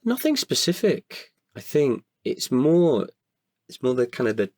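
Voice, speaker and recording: A man's voice: medium pitch, very animated, unhurried, a little mellow, no echo. A British man talking reflectively in an interview, candid and thoughtful, often hesitant as he searches for words. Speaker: podcast guest, performer.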